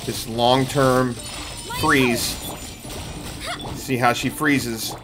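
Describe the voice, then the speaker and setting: Man, middle-aged, mid-pitched, casual gameplay commentary, explanatory, faint game music. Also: fairly even intonation, very slow. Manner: explanatory, addressing viewers. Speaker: game streamer and host.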